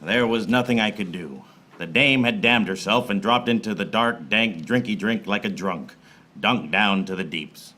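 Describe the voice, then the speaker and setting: An actor playing a wry, bumbling private eye in a noir comedy radio serial, deadpan and given to tongue-twisting alliteration. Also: male voice, on the low side, normal speed. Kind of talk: film noir comedy radio serial acting, narrating and in dialogue. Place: theater stage, performed live. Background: music.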